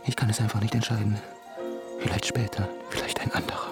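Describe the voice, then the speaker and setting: A man's voice: fairly low pitch, level tone, quick pace, lightly acted. An actor playing a brooding man musing over his strange nature in an introspective radio drama monologue. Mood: brooding, searching. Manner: musing, questioning. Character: brooding man recording his hybrid-creature diary thoughts. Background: music.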